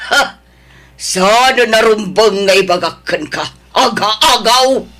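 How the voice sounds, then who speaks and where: A woman's voice: mid-range voice, a bit bright. Woman playing a furious wronged wife. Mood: scornful. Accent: Ilocano. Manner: scolding, accusing, slowly emphatic. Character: angry wronged wife confronting her husband's mistress. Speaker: voice actor in an audio drama. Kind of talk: Ilocano audio drama acting.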